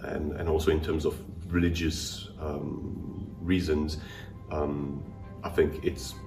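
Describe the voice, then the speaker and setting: Young guy, super deep voice, chatty and relaxed, far from the mic, echoey, music playing. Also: slow, gravelly. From Switzerland, raised in Singapore. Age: young adult. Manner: relaxed, chatty, with fillers and repeats. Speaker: interviewee, new immigrant. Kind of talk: casual interview answers.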